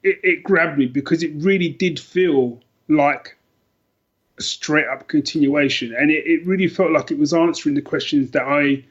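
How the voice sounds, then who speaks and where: Muffled lo-fi audio, British man, middle-aged, slightly high pitch, casual podcast chat, reflective, repeats and rephrases. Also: moderate pace, breathy edge. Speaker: podcast guest, author. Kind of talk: casual chat about favourite books.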